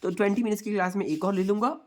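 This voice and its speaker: A hoarse young man with a sore throat gives an exam revision lecture online, explaining in a repetitive way and calling his viewers friends. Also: high voice, steady tone, rapid-fire, a little mellow. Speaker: online tutor.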